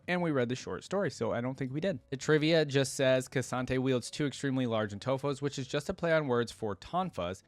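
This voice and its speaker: A man's voice: mid-range voice, no room sound. Casual man hosting, close dry sound. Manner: casual, informal asides. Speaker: reaction video host. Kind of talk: reading lore aloud with casual commentary.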